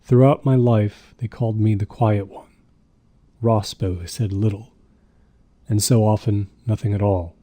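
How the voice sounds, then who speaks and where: A man's voice: on the low side, steady tone, measured pace, dark tone, no echo. A man reading a first-person diary as audiobook narration, subdued and reflective. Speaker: audiobook reader.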